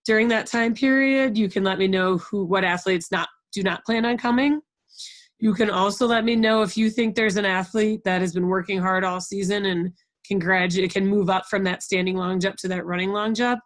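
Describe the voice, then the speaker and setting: A woman's voice: mid-range voice, steady tone, normal speed, no echo. American woman briefing coaches, dry close sound. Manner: encouraging, checks in often with "okay?". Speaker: sports program coordinator leading a conference call. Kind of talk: informal instructional briefing to coaches. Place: online conference call.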